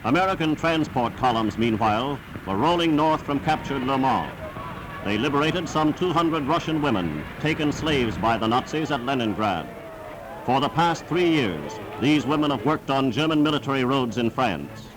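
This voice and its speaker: A man's voice: mid-range voice, expressive, moderate pace, background noise. A man narrating a scripted wartime newsreel in an emphatic, declamatory announcer's manner, on a vintage 1940s soundtrack.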